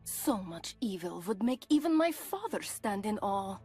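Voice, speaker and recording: A woman's voice: mid-range voice, very animated, measured pace, over music. A woman voicing a sarcastic immortal companion in a fantasy video game, with an arch, wry delivery. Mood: dry sarcasm. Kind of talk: dramatic video game acting.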